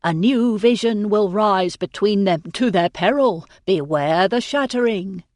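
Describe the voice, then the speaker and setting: A man's voice: high voice, unhurried, no room sound, clean studio sound. A woman voicing a theatrical male medium who growls out dramatic prophecies. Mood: ominous. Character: theatrical male spirit-writing medium, charlatan.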